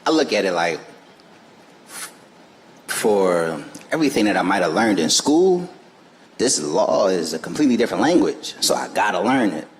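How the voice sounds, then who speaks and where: A man's voice: low-pitched, very animated, normal speed, pauses often, long reverb tail, a little hiss or hum, low-quality recording. A man speaking as a guest on a live festival panel, earnest and emphatic, with informal phrasing. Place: festival stage before an audience.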